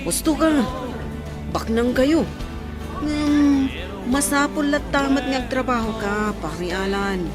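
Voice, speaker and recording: High-pitched Ilocano village adviser, woman acting, loud music. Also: slow. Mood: advising, cautioning. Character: cautioning, practical village friend, godparent of family. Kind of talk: radio drama acting.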